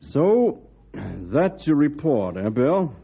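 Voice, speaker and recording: An actor playing a gruff, worried senior forest service colonel with a stern, blustering manner in an old-time radio drama. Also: male voice, fairly high pitch, very animated, takes it slowly, heavy background noise, full character voice. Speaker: commanding officer. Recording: muffled old radio recording.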